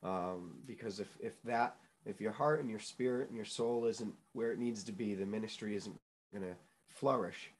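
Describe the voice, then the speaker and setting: Young guy from new england, kinda low voice, earnest, lots of ums and restarts. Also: normal speed. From New England, United States. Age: young adult. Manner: earnest storyteller, many fillers and restarts. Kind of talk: casual interview chat.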